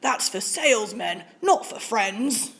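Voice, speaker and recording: A grumpy male storybook bear, as a woman reader puts him on, loud and swooping in pitch. He blusters dramatically and exasperates easily. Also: expressive, slow pace, husky, full character voice. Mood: pleading. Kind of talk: children's storybook character voice.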